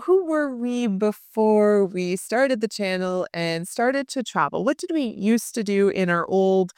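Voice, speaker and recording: A woman's voice: mid-range voice, expressive, measured pace, darkish tone, clean tone, dry recording, clean studio sound. A woman in her forties from Canada, co-hosting a podcast and leading the conversation in a friendly, casual way. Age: 40s. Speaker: podcast co-host. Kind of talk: casual chat.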